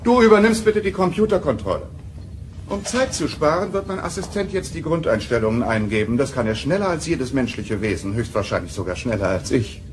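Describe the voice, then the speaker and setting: An actor playing an arrogant, impatient starship propulsion expert in an audio drama, with a condescending, haughty manner. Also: male voice, mid-range voice, sing-song, brisk, close to an everyday voice. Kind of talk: German audio drama acting. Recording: noisy 1990 cassette radio play.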